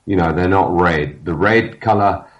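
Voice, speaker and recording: A man, talking casually in a halting way with many fillers and restarts. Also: low voice, a bit bright. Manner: halting, many fillers and restarts, loses his train of thought. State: has a cold. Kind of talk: casual podcast conversation. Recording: dull lo-fi sound.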